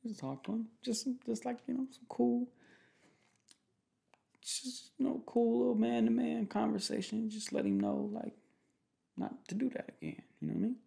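A young man playing a protective, jealous boyfriend in an ASMR roleplay, talking in soft, slangy, repetitive, teasing phrases. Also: very high voice, steady tone, normal speed, creaky, pitched above the performer's own voice, full character voice. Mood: insistent, protective. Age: young adult. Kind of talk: ASMR boyfriend roleplay, improvised casual chat. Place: small room at home, indoors.

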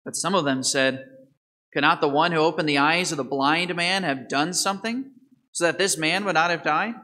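Youngish priest, thirties, bright kinda high voice, reading the gospel and quizzing the kids at mass in a big church. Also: male voice, normal speed. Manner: asks the congregation questions and quizzes children. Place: large church sanctuary. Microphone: microphone at the altar, far camera.

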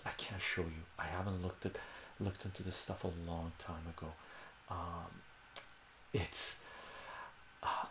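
A man's voice: low-pitched, a bit bright, roomy sound, a little hiss or hum. An older man whose first language is Armenian, hosting a casual livestream from a home room, rambling and correcting himself as he answers viewers' questions. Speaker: livestream host.

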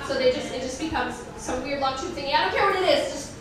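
A woman with a somewhat high voice reads aloud at a live comedy show. She is conversational and wry, mocking the material as she goes. The sound is very echoey and distant, in a room with an audience. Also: quick pace, crowd noise. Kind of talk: comedic reading of fan fiction with wry asides to an audience. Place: live stage reading before an audience.

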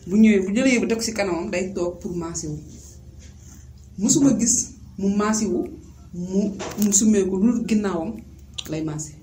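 A woman from Senegal, a salon owner, recounting events in an interview with animated emphasis. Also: medium pitch, normal speed, roomy sound, slight background noise.

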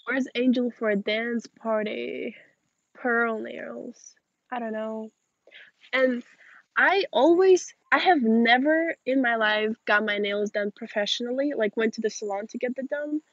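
A woman's voice: on the high side, measured pace, dry recording, highs cut off. A young woman in her late teens from Moldova, a native Russian speaker, chatting informally outdoors as she reads and answers viewer questions. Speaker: livestream host. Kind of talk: casual chat, reading and answering viewer questions. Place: outdoors in a backyard garden. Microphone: phone or camera at arm's length.

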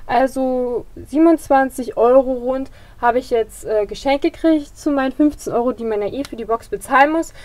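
A slightly hoarse woman giving a casual product review as a beauty vlogger. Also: high voice, darkish tone. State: slightly hoarse toward the end.

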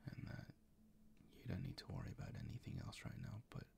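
A man performing improvised boyfriend ASMR in a breathy, gravelly whisper, tender and soothing, close to the microphone. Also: a little hiss or hum. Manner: tender, slow, soothing, gently coaxing.

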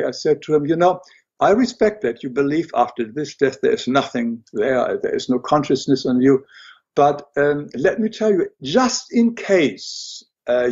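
Older man, german accent, husky, dull lo-fi sound, halting with fillers. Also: medium pitch, darkish tone. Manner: hesitant, many fillers and restarts. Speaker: guest, illustrator and writer. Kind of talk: interview conversation.

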